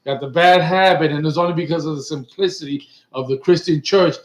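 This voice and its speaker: A man's voice: on the high side, brisk. A middle-aged man from Puerto Rico leading a bilingual religious class, emphatic and repetitive, often checking with "right?", recorded on a webcam in an indoor studio. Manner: emphatic, repeats points and asks "right?" often. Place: indoor studio set with printed backdrop. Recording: echoey room.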